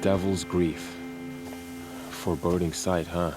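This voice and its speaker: Earnest, low-voiced young warrior. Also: male voice, little pitch movement, slow pace. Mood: subdued, serious. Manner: earnest, impassioned, formal phrasing. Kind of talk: dramatic video game acting. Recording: game audio with background music.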